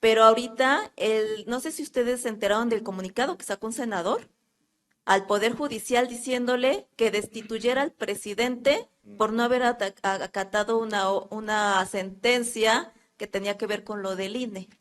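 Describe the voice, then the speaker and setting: A woman's voice: fairly high pitch, moderate pace. A woman with a Mexican accent asking a long question from the floor at a public talk, earnest and hesitant, with many fillers. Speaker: audience member asking a question.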